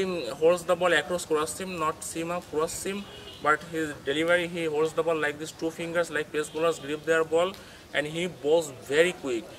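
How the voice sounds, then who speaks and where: A man's voice: a little high, sharp tone, a little hiss or hum. A young man hosting a cricket coaching video, explaining bowling grips outdoors to a camera in careful, non-native English with frequent restarts. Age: young adult. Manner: explains steadily, demonstrates grips with his hands as he talks. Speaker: cricket coaching channel host. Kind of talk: tutorial talking to camera. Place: outdoors by a concrete wall.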